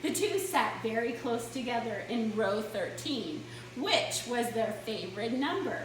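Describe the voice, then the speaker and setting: Female children's storyteller: dramatic read-aloud, high and bright, unhurried, in a very echoey room. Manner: expressive, dramatic. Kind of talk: animated picture-book read-aloud. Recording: very echoey room, distant mic, faint noise.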